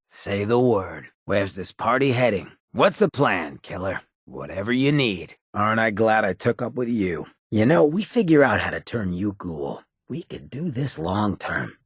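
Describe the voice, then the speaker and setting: Game companion casting: an irreverent, swaggering male ghoul, heavily rasped and dry-miked, wry slangy drawl, loyal but cutting. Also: lowish voice, dry recording, heavily acted. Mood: cocky, then warm. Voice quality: raspy, gravelly. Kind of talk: video game companion voice lines.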